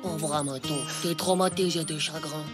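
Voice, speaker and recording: An actor playing a cocky, excitable ten-year-old schoolboy in a French cartoon, talking in boastful, slangy exclamations. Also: mid-range voice, normal speed, loud music behind the voice, lightly acted. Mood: sheepish, consoling.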